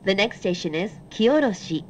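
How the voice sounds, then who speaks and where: A woman delivers automated train announcements in set phrases. She speaks slowly and formally at a medium pitch. The sound is a bit muffled, with faint noise. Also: animated, a little mellow. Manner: formal, measured scripted delivery.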